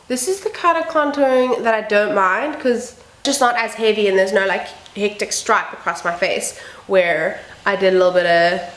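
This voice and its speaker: A woman vlogger talking casually through a makeup tutorial, a little croaky and sniffly from a cold. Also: mid-range voice, echoey room, low-quality recording. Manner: chatty, upbeat, self-deprecating asides. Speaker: beauty vlogger. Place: home room with building noise outside.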